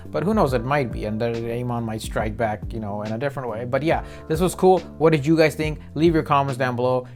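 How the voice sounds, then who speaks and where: A man hosting a reaction video, giving casual, unscripted commentary in a rambling, slangy way. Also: mid-range voice. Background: music behind the voice.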